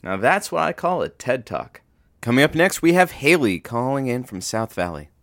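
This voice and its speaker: A man's voice: mid-range voice, sing-song, normal speed, fairly bright tone. A man playing an easygoing radio producer and announcer who sidekicks on a call-in show, with noise on the recording. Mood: announcing. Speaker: producer introducing callers. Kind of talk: semi-improvised audio drama, radio announcing.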